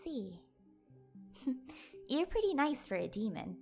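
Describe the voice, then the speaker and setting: A woman playing a kind, awkward young human in an audio drama, hesitant and casual but expressive. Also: high-pitched, slow pace, gravelly, faint music behind, exaggerated voice. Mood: curious. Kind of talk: audio drama character acting.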